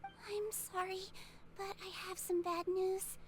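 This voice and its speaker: A little fantasy creature who works as head nurse, speaks in an extremely high voice. She is gentle, composed and formal, reassuring like a careful caregiver in a video game, with faint noise in the background. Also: level tone. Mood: sorrowful, gentle. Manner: measured, softly reassuring, formal. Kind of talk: scripted video game voice acting.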